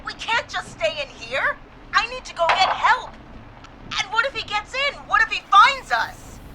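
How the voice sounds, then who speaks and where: An actress voicing a frightened female police dispatcher in a horror video game, speaking urgently and haltingly. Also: extremely high pitch, lively intonation, heavy background noise. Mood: panicked.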